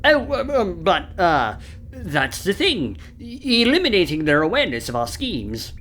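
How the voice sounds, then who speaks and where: A man's voice: sits high, huge pitch swings, a little slow, fairly bright tone, a little hiss or hum, exaggerated voice. A man voicing a nervous, fawning little turtle wizard minion in a comic audio play, flustered and stammering. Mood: anxious, fawning.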